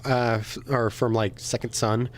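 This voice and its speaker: A man's voice: mid-range voice, level tone, a little slow. A man co-hosting a podcast, chatting casually about games and films. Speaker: podcast co-host.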